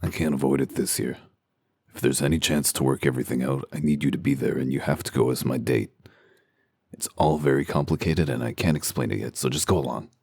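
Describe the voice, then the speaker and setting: A man voicing a gruff, sardonic werewolf detective in a comic dub, with a growling, commanding delivery. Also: steady tone, fairly quick, darkish tone, no room sound. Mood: curt, weary. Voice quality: very deep, gravelly growl. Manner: dry, sarcastic, commanding.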